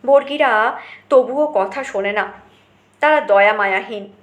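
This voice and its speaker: A woman's voice: on the high side, unhurried, reverberant, muffled recording. A woman reading a short story aloud as dramatic scripted narration.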